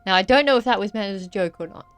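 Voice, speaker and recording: A woman's voice: medium pitch, lively intonation, very fast, clear, resonant tone. A woman co-hosting a casual gaming podcast, mostly chiming in with short agreements and dry quips. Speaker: podcast co-host.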